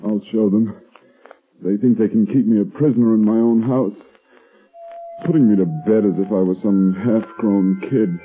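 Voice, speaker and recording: A man's voice: on the low side, fairly even intonation, moderate pace, lightly acted. An actor playing a rebellious, resentful young man in a 1930s radio drama. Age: young adult. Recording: tinny old radio recording, music behind.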